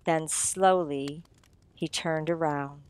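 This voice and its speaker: A woman's voice: sits low, steady tone, slow, a little mellow, clear, resonant tone. A woman narrating a suspense radio play in a dramatic, scripted storytelling style.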